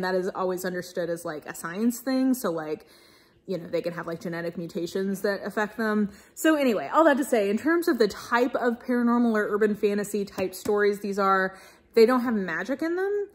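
A woman's voice: mid-range voice, brisk. A young adult woman, talking casually and emphatically to camera as an enthusiastic, informal book reviewer. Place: small-to-medium living room indoors with bookshelves.

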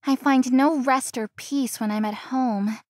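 A woman playing a gentle, dutiful young daughter in a visual novel. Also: high voice, fairly even intonation, unhurried, dry recording, clean studio sound. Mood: reflective. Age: young adult.

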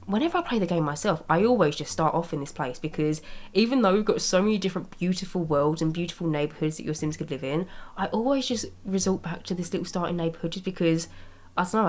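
Chatty woman, slightly raspy with a cold. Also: on the low side, a little mellow. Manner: chatty, rambling, with small laughs. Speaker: Sims speed-build YouTuber. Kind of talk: casual commentary over gameplay. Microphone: voice-over recorded separately from gameplay.

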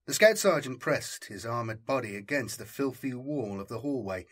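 A man narrating a scripted story as an audiobook, in a measured, solemn and dramatic way. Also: mid-range voice, slightly rough.

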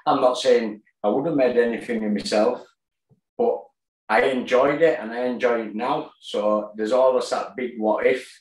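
A man, telling his story plainly in a video-call interview, with fillers and pauses. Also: medium pitch, steady tone. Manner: plain-spoken, halting with fillers. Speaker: guest, boxer and gym owner. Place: kitchen at home, indoors. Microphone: tablet on a video call. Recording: echoey room.

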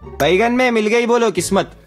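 A man's voice: extremely high pitch, normal speed. A man giving short, playful, slangy callouts for a game voice pack. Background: faint music.

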